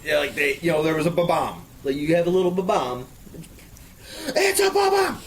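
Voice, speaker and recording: A man's voice: on the high side, big pitch swings, moderate pace. A man chatting casually as a panelist in a round-table discussion among friends. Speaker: panelist on a group discussion show. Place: indoor room, group round-table. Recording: clearly noisy room recording.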